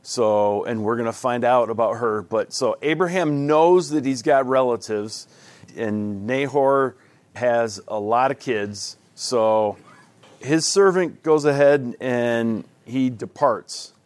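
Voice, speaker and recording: A man walks a group through scripture in an easygoing, homespun manner, stopping and restarting his thoughts as he goes. He speaks slowly, at a middling pitch. The sound is dry and close, with no room echo. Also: a little mellow. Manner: folksy and conversational, with frequent restarts. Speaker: Bible study leader. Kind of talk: casual Bible study teaching.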